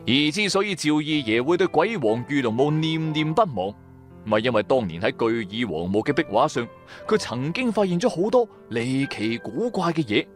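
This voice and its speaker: A man's voice: fairly high pitch, big pitch swings, moderate pace, soft background music. An actor with a Cantonese accent playing a streetwise, wry businessman turned tomb raider, narrating in colloquial first person for a radio drama. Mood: storytelling. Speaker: narrator and main character of an audio drama.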